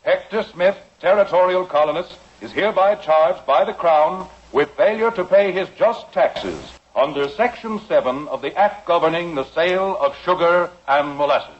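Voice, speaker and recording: High-pitched, formal court bailiff played by a man. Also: moderate pace, hardly any pauses, lightly acted. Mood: official, solemn. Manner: declamatory, official. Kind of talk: 1950s radio drama acting. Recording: dull lo-fi vintage radio broadcast.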